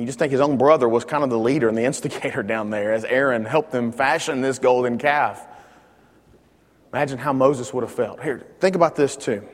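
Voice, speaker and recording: A man teaches a Bible lesson in a church classroom, speaking loudly and emphatically into a microphone and often restarting his words. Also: medium pitch, big-room sound.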